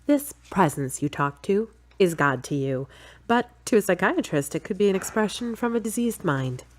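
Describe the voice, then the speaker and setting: A woman playing a level-headed, gently teasing girlfriend in an audio drama. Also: mid-range voice, animated, moderate pace, close to an everyday voice. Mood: calm, probing.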